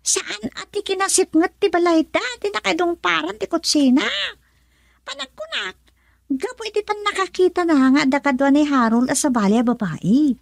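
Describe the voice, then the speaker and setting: A woman playing a gossipy young woman in a radio drama, talking in an animated, conversational way. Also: very high-pitched, moderate pace, vocal fry, dry recording, heavily acted. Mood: gossiping. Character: gossipy young woman, a friend of the jilted girl.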